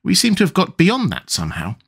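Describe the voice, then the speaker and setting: A man's voice: sits high, wildly swooping pitch, fairly quick, creaky, a bit bright, slightly rough, pitched above the performer's own voice, heavily acted. A man voicing a polite, puzzled young girl with a raised, light voice in a storybook reading.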